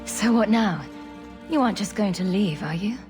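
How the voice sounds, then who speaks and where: A woman voices a sardonic fantasy adventurer in a video game. Her medium-pitched delivery is dry, wry and self-assured. Music is clearly heard behind her. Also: lively intonation, moderate pace, lightly acted. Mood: probing. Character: sardonic, confident female adventurer hero. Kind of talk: video game cutscene acting.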